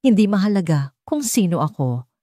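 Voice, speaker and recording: A woman with a Filipino accent voicing an earnest, vulnerable man in love, softly and tenderly, in an audiobook. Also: fairly low pitch, sing-song, moderate pace, no room sound, clean studio sound. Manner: soft, hesitant, tender.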